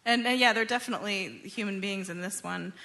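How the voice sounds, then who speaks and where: A woman interviewing a guest as a radio host, with halting, conversational phrasing. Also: medium pitch, creaky, pushed and bright.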